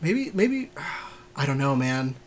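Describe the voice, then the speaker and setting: A man's voice: mid-range voice, very animated, slow, slight background noise. A man co-hosting a podcast, bantering casually as he comments on a card game draft. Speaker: podcast co-host.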